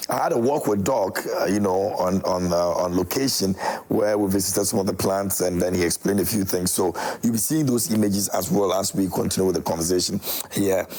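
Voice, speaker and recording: A man's voice: low-pitched, fairly quick, darkish tone. A Ghanaian man hosting a morning TV talk show in a chatty manner, with many fillers, often echoing his guest's words. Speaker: TV show host.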